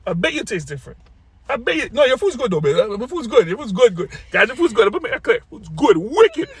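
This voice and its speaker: A man's voice: very high voice, sing-song, fast talker, breathy edge. A man in his thirties from Saint Vincent, teasing his partner in a playful couple's debate and repeating himself insistently. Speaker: co-host of couple's channel.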